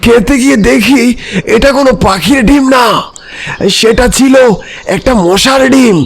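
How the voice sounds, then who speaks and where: Shrill, panicky gravelly cartoon hyena. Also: male voice, measured pace, a little hiss or hum, exaggerated voice. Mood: agitated. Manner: frantic, exclaiming. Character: panicky, easily frightened male hyena in a cartoon.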